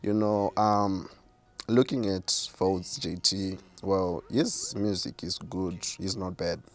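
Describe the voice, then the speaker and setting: A man with a deep voice, a self-assured artist answering interview questions. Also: steady tone, slow pace. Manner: self-assured, boastful about his skill. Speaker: rapper and artist, guest.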